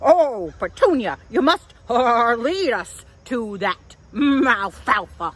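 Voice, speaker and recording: A woman voicing an excitable, timid goat in a children's story, humming and dithering between phrases. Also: on the high side, slow pace, breathy edge, heavily acted. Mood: excited. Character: older sibling billy goats, excitable and easily scared. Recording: outdoor park background noise.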